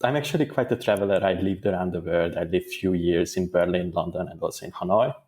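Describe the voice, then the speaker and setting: A man gives interview answers in a fairly low voice. He speaks in a thoughtful, measured way and sometimes restarts a phrase while he finds his words. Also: a little mellow, slight background noise. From Hungary. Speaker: guest data engineer.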